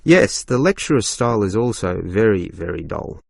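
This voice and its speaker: Male student played by a man, young, blunt complainer, animated intonation with vocal fry, scripted delivery. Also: lowish voice, moderate pace, dry recording, studio quality, exaggerated voice. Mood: grumbling, blunt. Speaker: student in a listening test dialogue. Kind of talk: scripted exam dialogue acting.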